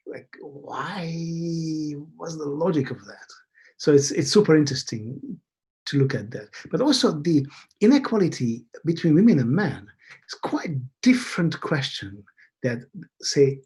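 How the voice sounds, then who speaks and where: Husky man, musing. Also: fairly high pitch, a little mellow. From Hungary. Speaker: academic guest, behavioral scientist. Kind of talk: thoughtful interview conversation. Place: video call from a computer.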